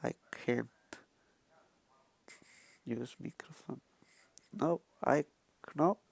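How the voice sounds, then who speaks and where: Young male non-native English speaker, halting and very slow, gravelly, close dry mic. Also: medium pitch, no echo. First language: not English. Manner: halting broken English, many restarts. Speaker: tutorial vlogger. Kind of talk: rambling screen-recorded tutorial. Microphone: handheld microphone he keeps touching.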